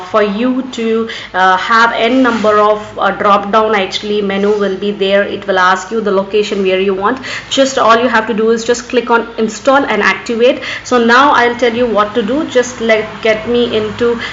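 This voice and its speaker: A woman's voice: fairly high pitch, steady tone, measured pace, few pauses, fairly bright tone. An echoey, slightly muffled recording with faint noise carries a woman's tutorial narration. She has an Indian accent, with Urdu as her first language, and talks without a script, repeatedly saying "actually" as she explains each step. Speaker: tutorial host. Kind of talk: screen tutorial narration, unscripted.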